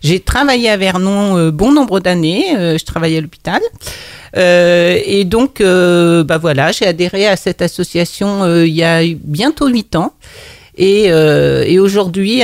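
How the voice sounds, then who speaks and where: A woman's voice: fairly low pitch, dry recording, studio quality. Chatty retired woman, hesitant. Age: older. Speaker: guest, association coordinator. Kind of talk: casual radio interview.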